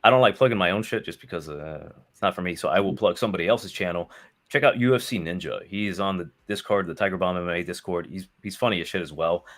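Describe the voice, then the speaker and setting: A man's voice: sits low, fast. A man talking as a podcast guest, rambling with lots of fillers and casual swearing. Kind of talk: casual chat.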